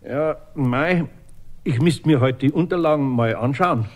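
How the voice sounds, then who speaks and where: Actor as a homely Bavarian master carpenter. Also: male voice, on the high side, unhurried, natural voice. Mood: reserved, obliging. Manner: plain-spoken, homely. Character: gruff, patient, sensible older male master carpenter. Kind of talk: German children's radio play acting.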